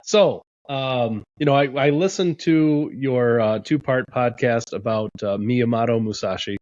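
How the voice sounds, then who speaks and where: A man's voice: medium pitch, measured pace, darkish tone, no echo. A man co-hosting a podcast, asking a question with many hesitations and restarts. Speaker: podcast co-host. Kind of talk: casual interview chat. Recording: dull dry lo-fi sound.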